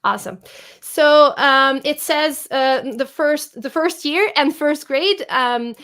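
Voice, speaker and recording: A woman's voice: high-pitched, takes it slowly, a bit bright. A woman, a music teacher giving an online webinar presentation over a video call, talking hesitantly with many filler sounds. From Serbia. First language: Serbian.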